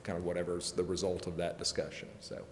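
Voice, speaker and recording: A man explaining procedure at a public meeting in an informal, halting, hedging way, like an adviser to a council. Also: low voice, flat delivery. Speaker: legal adviser to a city council. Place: indoor council chamber. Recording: echoey, reverberant council chamber with background noise.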